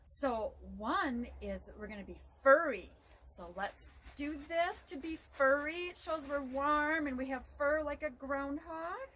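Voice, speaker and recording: A woman librarian leading a virtual story time for young children, reading aloud and guiding them through motions gently. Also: sits high, a little hiss or hum, low-quality recording. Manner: gentle, slow, instructive with children.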